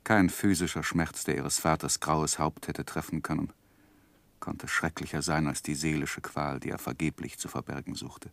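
Low-voiced, earnest gentleman narrator. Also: steady tone, creaky, no room sound, exaggerated voice. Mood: anguished recollection. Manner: grave, measured, literary. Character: earnest gentleman narrating his own life story. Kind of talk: 1960s radio drama narration.

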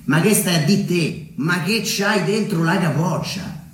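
A man's voice: high voice, unhurried. A man voicing blunt, bickering small-town Umbrian businessmen in a stage monologue, with emphatic dialect retorts. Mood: scoffing, bickering. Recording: echoey stage, distant mic, slight noise.

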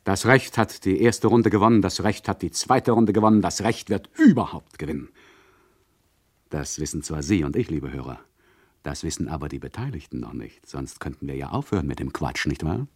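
A man's voice: low-pitched, expressive, normal speed, darkish tone, slightly rough, exaggerated voice. A man acting as a sardonic, condescending radio play narrator, addressing his listeners with mock patience. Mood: smug. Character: condescending, chatty male narrator who mocks his listeners.

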